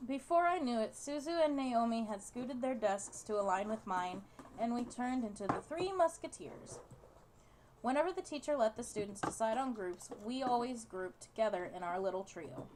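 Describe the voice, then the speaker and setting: A woman with a fairly high voice reads visual novel narration aloud in a casual way. She occasionally trips over the written words. There is noticeable noise in the recording. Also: animated, normal speed, a little mellow.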